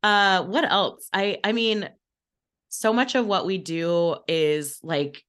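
A woman's voice: fairly low pitch, slow pace, fairly bright tone, clean tone. A bubbly, effusive woman chatting casually on a podcast, sounding a little under the weather with a cold. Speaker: podcast team member, operations manager. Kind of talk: casual behind-the-scenes podcast chat.

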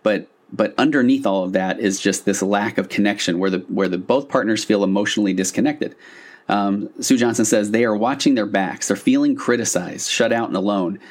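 A man's voice: fairly low pitch, a little fast. A man hosting a casual solo podcast as a couples therapist, talking in an earnest, conversational, slightly rambling way with self-corrections.

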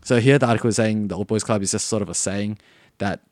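A man's voice: lowish voice, steady tone, fast, darkish tone, dry recording. A young Australian man co-hosting a podcast, chatting casually and rambling with lots of fillers. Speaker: podcast co-host. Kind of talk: casual chat.

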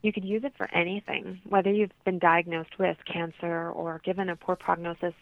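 A woman's voice: on the low side, flat delivery, moderate pace, no echo. A woman interview guest explaining her holistic practice conversationally over a thin, phone-like line.

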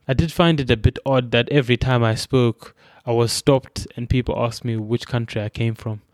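A man's voice: mid-range voice, steady tone, moderate pace, dry recording, studio quality. A young man from Zimbabwe with a Zimbabwean accent, thoughtfully recounting his own experiences and often restarting his phrases. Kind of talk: reflective personal account.

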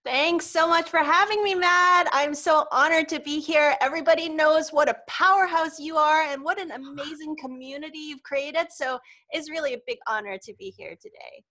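Woman, high-pitched, from Hong Kong; interviewed wellness doctor, conversational storyteller, gracious and informal. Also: moderate pace, sharp tone. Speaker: podcast guest, holistic medicine doctor and author.

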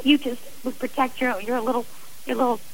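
A woman's voice: a little high, fairly even intonation, fairly quick, low-quality recording. A middle-aged woman guest on a radio interview, speaking earnestly and from the heart about her own experience, often restarting her sentences. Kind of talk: radio interview, personal account.

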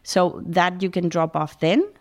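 Woman, middle-aged, low voice, halting chatty guest, many restarts. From Spain. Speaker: food pantry founder, guest. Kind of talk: casual interview talk.

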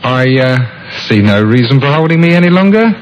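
An actor playing a smooth, over-cooperative murder suspect in an old-time radio mystery, polite, glib and at ease. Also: male voice, medium pitch, sing-song, fairly quick, lightly acted. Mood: smoothly compliant. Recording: muffled, noisy vintage radio recording.

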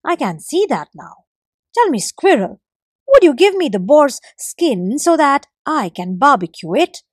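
A proud, clever little forest gecko boasting in a high, lively voice in a storybook reading, as a woman puts him on. He sounds stubborn and pleased with himself. The sound is close and dry. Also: unhurried, no room sound. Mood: coaxing.

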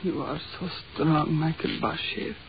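An actress playing a seductive, scheming, nervous wife, coaxing and pleading theatrically in 1940s radio horror style. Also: female voice, deep voice, steady tone, a little slow. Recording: dull, hissy 1940s radio recording.

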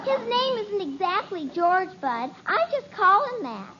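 A woman's voice: extremely high pitch, big pitch swings, measured pace, heavily acted. An actress playing a young girl named Kathy in a 1950s radio sitcom, pleading and whiny. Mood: innocent. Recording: dull old radio recording, faint hiss.

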